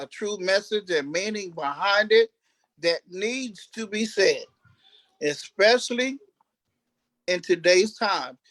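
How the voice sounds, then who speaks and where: A man's voice: high-pitched, fairly bright tone, no room sound. A man speaking off the cuff as a cast member in a panel discussion, emphatic, often repeating and restarting his phrases. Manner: emphatic, repetitive, halting restarts. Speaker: stage play cast member.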